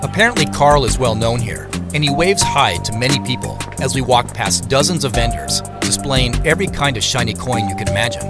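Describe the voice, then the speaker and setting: An actor playing a reserved, observant man who narrates his own story in measured, descriptive audio drama style. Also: medium pitch, expressive, close to an everyday voice. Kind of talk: scripted first-person audio drama narration. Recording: music behind narration.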